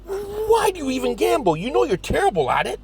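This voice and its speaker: A man acting expressive, exasperated everyday characters in a scripted comedy audio drama. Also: very high-pitched, brisk, a little hiss or hum, full character voice. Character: excitable, exasperated men: hillbilly and pizzeria owner brother.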